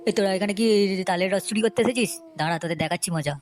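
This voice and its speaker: A woman's voice: medium pitch, rapid-fire, close to an everyday voice. A woman voicing a suspicious, threatening grown man, an angry tree owner in a comedy cartoon.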